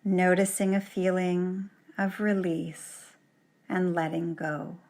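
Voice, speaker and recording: A woman yoga teacher leading a guided relaxation in a gentle, soothing manner, leaving long pauses between short phrases. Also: lowish voice, little pitch movement. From Canada.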